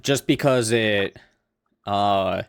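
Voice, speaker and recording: Casting: male game player, fairly low voice, close dry sound, casual explaining. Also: drawn-out words, clear, resonant tone, dry recording. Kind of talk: casual discussion.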